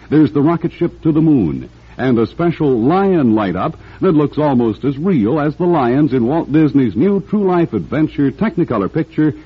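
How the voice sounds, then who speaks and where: A man with a husky voice delivering a 1950s radio commercial pitch aimed at children. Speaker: commercial announcer. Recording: dull old radio recording.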